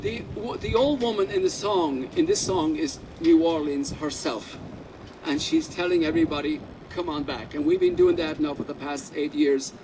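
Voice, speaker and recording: A man's voice: on the high side, animated, moderate pace, vocal fry, far from the mic, background noise. An Irish man whose first language is Irish Gaelic, a musician telling rambling anecdotes at a press conference. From Ireland.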